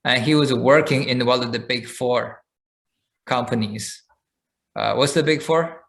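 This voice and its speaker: A man's voice: mid-range voice, unhurried, creaky, a bit bright. A man co-hosting a podcast, chatting casually and telling a long story with frequent fillers and restarts. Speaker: podcast co-host. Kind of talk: casual chat.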